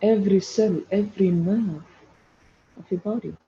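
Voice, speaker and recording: A man with a high-pitched yet very soft, mellow voice that turns creaky, guiding a meditation. He gives slow, soothing instructions and repeats short phrases, on a dull recording. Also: a little hiss or hum. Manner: repeats phrases for emphasis, addresses listeners as friends. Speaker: guided meditation teacher.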